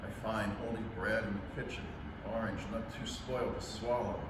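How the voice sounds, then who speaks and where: A man performing a staged radio-drama reading as a haunted professor, a lone survivor narrating solemnly and haltingly. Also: on the low side, steady tone, close to an everyday voice. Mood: haunted, weary. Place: public library event room, live staged reading. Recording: echoey hall from far off, noisy.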